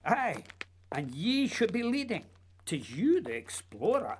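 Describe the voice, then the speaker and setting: A man's voice: high voice, huge pitch swings, measured pace, a little hiss or hum, heavily acted. A man playing a whimsical, childlike playwright in a radio play, musing and teasing in a theatrical way. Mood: eager, rousing. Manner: theatrical, musing, playfully urging.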